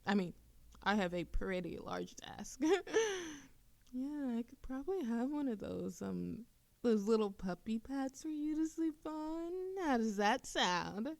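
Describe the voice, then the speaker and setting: A woman playing an affectionate, playful and easily flustered young witch in love, speaking softly and haltingly in an intimate romantic audio roleplay. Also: a little high, lively intonation, vocal fry, no echo. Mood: teasing, affectionate. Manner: soft, halting, teasing, giggly.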